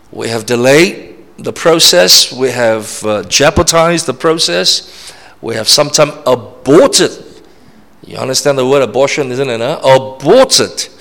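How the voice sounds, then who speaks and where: Preacher in a reverberant hall. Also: male voice, medium pitch, vocal fry, a bit bright. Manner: emphatic, repetitive, checks in with "all right?". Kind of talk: sermon. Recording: long reverb of a large hall.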